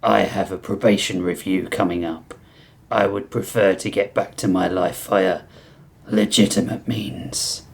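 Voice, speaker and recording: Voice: deep voice, steady tone, a little slow, voice pushed down. A man voicing a huge, cultured reptile inmate who speaks formally with restrained, wordy disdain. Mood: cold restraint.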